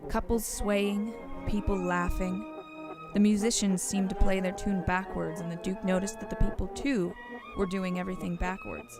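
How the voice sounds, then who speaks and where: A woman's voice: medium pitch, wildly swooping pitch, normal speed, music in the background. A woman reading scripted storybook narration for an audio drama, on a noisy recording.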